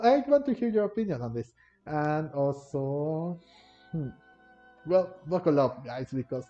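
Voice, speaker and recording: Mellow, halting man doing game commentary. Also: medium pitch. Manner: halting, rambling, repeats words. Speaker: gaming video creator. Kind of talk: casual gameplay commentary. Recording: dull, lo-fi home microphone. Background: faint game music.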